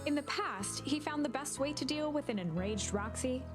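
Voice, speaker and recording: An actress playing the storybook narrator of a whimsical game, reading scripted narration. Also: female voice, high-pitched, animated, normal speed. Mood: storytelling. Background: game music.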